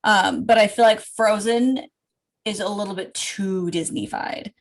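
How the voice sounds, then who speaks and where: A woman's voice: medium pitch, measured pace. A woman co-hosting a podcast in casual chat, rambling with many fillers and restarts. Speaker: podcast co-host.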